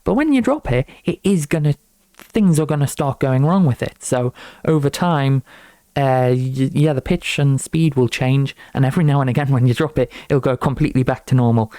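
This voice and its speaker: A man's voice: mid-range voice, normal speed, dark tone, dry recording. A young man giving a casual, unscripted walkthrough of his own project in an informal, rambling, lightly amused way. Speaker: student game developer presenting own project.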